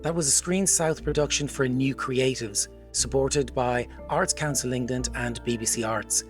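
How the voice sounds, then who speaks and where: Measured man announcing credits over music. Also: mid-range voice. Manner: measured, formal. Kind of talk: reading credits.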